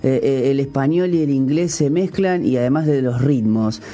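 A man's voice: medium pitch, normal speed, warm tone. A Uruguayan man hosting a radio interview, chatty and hesitant, with many fillers and restarts. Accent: Uruguayan Spanish. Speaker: radio host. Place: radio studio.

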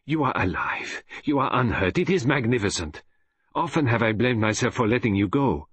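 A man voicing a courteous, shrewd detective in an audiobook, with a gentle, precise, self-assured manner. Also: mid-range voice, wildly swooping pitch, normal speed, husky, dry recording, voice pushed up, exaggerated voice. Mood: warm, relieved. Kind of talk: audiobook character voice.

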